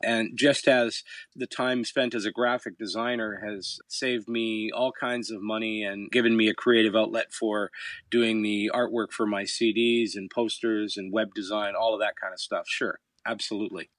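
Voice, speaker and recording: A middle-aged Canadian musician with a fairly low voice, answering interview questions in a reflective, storytelling way, with some hesitant pauses. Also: male voice, level tone, a bit bright, dry recording. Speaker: musician guest.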